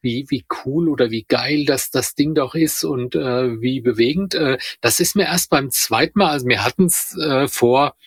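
Hesitant man, clear dry voice. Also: medium pitch, no echo. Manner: hesitant, searching for words in halting phrases. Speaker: podcast co-host discussing radio plays. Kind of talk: casual reflective discussion.

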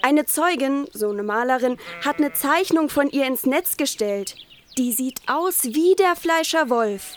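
A woman's voice: high voice, lively intonation, unhurried, faint music behind. A woman acting in a crime radio play as an eager, naive police intern, earnest and a little hesitant. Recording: faint background noise.

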